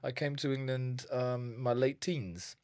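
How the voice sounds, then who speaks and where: A man in his thirties from Zimbabwe, a nutrition coach being interviewed in casual chat, animated and often repeating words while he thinks. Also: medium pitch, a little slow, no room sound, clean studio sound. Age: 30s.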